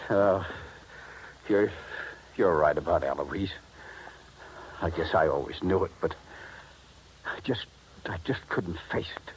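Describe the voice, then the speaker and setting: Low-voiced, desperate radio-drama thief. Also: male voice, slow pace, long pauses, rough recording. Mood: defeated. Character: desperate, lovesick male thief with a gun, crumbling. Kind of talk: 1950s radio drama acting. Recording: old radio recording with faint noise.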